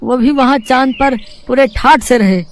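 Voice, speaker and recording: A man voicing a humble, earnest poor village husband in an animated moral story, speaking a little hesitantly. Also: very high voice, measured pace, slight background noise. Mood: eager.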